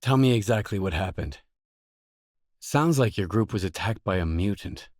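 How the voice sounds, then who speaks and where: An actor playing a terse, guarded mercenary in a video game, speaking scripted lines in a matter-of-fact way. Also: male voice, lowish voice, expressive, moderate pace, a little mellow, no echo, clean studio sound.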